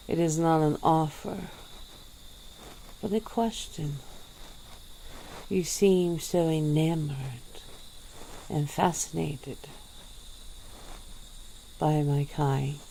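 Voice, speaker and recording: A woman voicing a centuries-old female vampire in an ASMR roleplay, speaking in a slow, drawn-out, purring way. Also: a little hiss or hum, full character voice, rough recording. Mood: languid. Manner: slow, low, drawn-out purring delivery with soft laughs.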